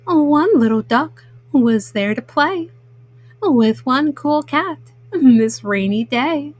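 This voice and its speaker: Slow, high-voiced woman reading bedtime stories. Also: expressive, a little mellow. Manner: slow, simple, addressing young listeners as Mama. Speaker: storyteller host. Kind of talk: children's bedtime picture-book reading.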